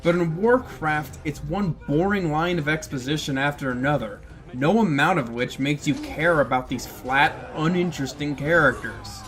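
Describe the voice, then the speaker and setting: A man's voice: on the high side, moderate pace, hardly any pauses, a bit bright, soft background music. A man giving casual, opinionated film commentary in a blunt, sardonic way. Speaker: video essayist reviewing films.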